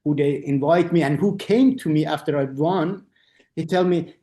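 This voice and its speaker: Halting male architect in a roomy space. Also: a little high, warm tone. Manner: halting non-native English, many restarts, acts out quoted dialogue. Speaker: architect and interview guest. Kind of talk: conversational interview. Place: lives in Austria.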